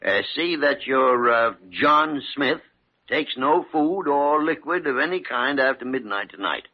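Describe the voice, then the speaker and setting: An actor playing a gruff older senior doctor in an old radio drama, muttering and exclaiming in a husky voice. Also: male voice, mid-range voice, lively intonation, a little slow. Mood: grumbling, obliging. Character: crusty older senior hospital doctor, gruff but obliging. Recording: dull vintage radio recording.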